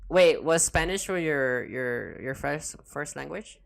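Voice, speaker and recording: Male podcast host, gravelly fry, excitable casual chatter with lots of restarts. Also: on the high side, takes it slowly. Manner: excitable, swearing, stumbling restarts. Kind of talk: casual chat.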